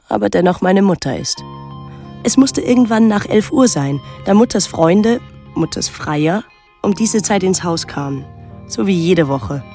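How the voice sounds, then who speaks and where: A young actress playing a pensive, detached teenage daughter, narrating a literary radio drama in a measured, introspective way. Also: female voice, mid-range voice, dark tone.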